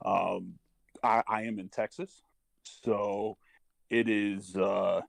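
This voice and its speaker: A middle-aged man speaking personally and earnestly, haltingly searching for his words. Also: fairly low pitch, fairly even intonation, creaky, dry recording. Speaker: parent speaking as a panel guest. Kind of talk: personal testimony in casual talk.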